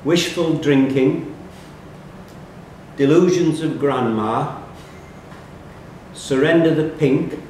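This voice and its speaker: A man's voice: slow pace, pauses often, a little mellow. A man acting as quiz reader, reading questions aloud to contestants at a live pub quiz. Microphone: distant room microphone. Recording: echoey room, distant mic, faint rumbling noise.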